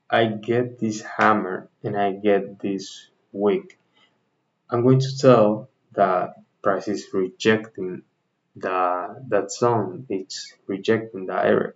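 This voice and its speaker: A man with a Dominican Spanish accent, Spanish his first language, walks his viewers through his trading in a relaxed, chatty way. His voice sits low and his pace is slow and deliberate, with frequent restarts and self-corrections. The recording sounds slightly dull and muffled. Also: creaky. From Dominican Republic. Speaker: trading channel host. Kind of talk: casual screen-share trading explainer.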